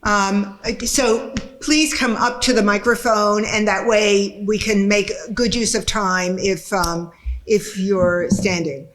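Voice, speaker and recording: Slow, halting woman chairing a meeting. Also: medium pitch, fairly even intonation, a bit bright. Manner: measured, halting, with many fillers. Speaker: school board chair. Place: meeting room.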